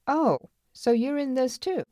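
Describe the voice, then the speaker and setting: Woman playing a quiet, brooding frontier marshal. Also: high-pitched, expressive, a little slow, dry recording, studio quality, pitched above the performer's own voice. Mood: jealous.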